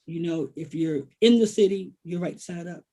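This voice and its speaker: An American man, a writer, speaking reflectively and haltingly in a literary conversation, repeating phrases for emphasis. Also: on the high side, warm tone. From Washington, D.C., United States. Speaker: poet and writer, guest author.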